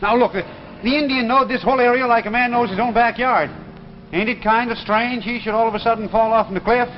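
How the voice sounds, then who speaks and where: A man's voice: very high-pitched, fairly even intonation, fast talker. An actor playing an insistent, haunted old backwoods man with a folksy rural American dialect in a 1950s sci-fi drama. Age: older. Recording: noisy, muffled 1950s television soundtrack.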